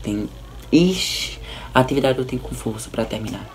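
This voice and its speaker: A young man, a little hoarse, chatting playfully to camera in a small room. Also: medium pitch, lively intonation, normal speed, a little hiss or hum. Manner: chatty, playful, teasing asides. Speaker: vlogger. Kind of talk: casual commentary on soap opera clips. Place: small indoor room by a curtain.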